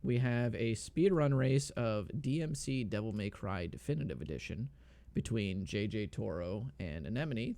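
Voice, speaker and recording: A man's voice: fairly low pitch, vocal fry, mellow, no room sound. A man commentating a video game speedrun race, explaining in a laid-back way with lots of fillers.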